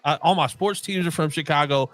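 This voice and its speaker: A man's voice: on the high side, fairly quick, a bit bright. A man from the Midwest with a mix of Midwestern and Oklahoma accent, co-hosting a casual sports chat and rambling with many restarts. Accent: mixed Midwestern and Oklahoma. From Midwestern United States. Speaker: co-host.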